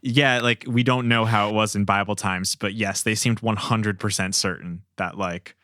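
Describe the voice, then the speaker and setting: A man's voice: on the low side, steady tone, moderate pace, sharp tone, no room sound, clean studio sound. A man hosting a podcast, talking in a casual, conversational way. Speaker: podcast host.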